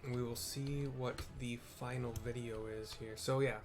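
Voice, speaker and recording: A man commentates on a video game in a casual, unscripted way, with a medium-pitched voice. He thinks aloud as he goes, rambling through explanations and often rephrasing what he means. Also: steady tone, measured pace, music in the background. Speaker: gameplay commentator.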